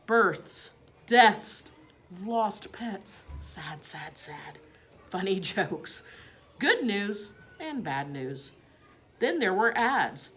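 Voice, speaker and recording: A woman reading a children's storybook aloud in expressive narration. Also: mid-range voice, extremely slow, slight background noise.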